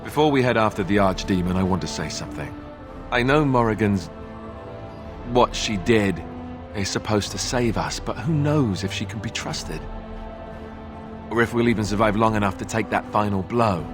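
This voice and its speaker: Loyal young game hero, male actor: slightly deep, sincere, plain-spoken, music behind. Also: animated, moderate pace, natural voice. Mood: earnest, resolute. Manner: earnest, informal. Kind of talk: video game voice acting.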